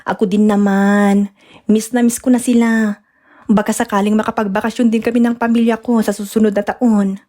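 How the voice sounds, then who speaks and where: A woman's voice: mid-range voice, flat delivery, normal speed, mellow, natural voice. Woman as a kind, steadying big-sister friend. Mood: teasing. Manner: comforting, teasing. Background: music.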